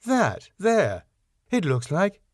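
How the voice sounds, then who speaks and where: A man's voice: sits high, wildly swooping pitch, takes it slowly, no room sound, clean studio sound, voice pushed up, full character voice. A man voicing a stern female council inspector in a sitcom, formal, clipped and pompous. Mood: disgusted. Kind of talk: sitcom script read aloud.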